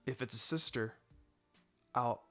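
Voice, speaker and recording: A man's voice: mid-range voice, measured pace, pushed and bright, faint music behind, voice pushed down. A man voicing a brash, moody young boy in a fan fiction story. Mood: offhand. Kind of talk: fan fiction character dialogue.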